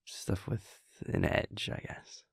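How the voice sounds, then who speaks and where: A man playing an affectionate, wry vampire prince boyfriend in an intimate roleplay audio, talking in a casual, hesitant, soft-spoken way. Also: takes it slowly, no room sound, studio quality, natural voice. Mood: playful, casual. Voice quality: low, creaky. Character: playful male vampire prince, affectionate boyfriend, wryly reflective. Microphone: close, dry studio-style microphone.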